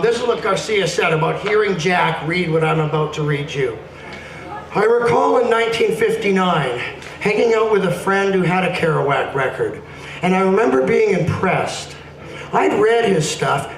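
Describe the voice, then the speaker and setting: A man giving a playful, dramatic spoken-word performance, reading aloud in a casual live setting. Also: sits high. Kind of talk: animated live poetry reading with casual introductions. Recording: roomy, distant mic with faint noise.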